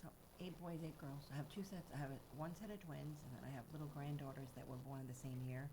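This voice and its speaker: Older woman guest; low, soft and quiet, recorded with studio noise. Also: fairly even intonation, fast talker. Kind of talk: casual chat on radio.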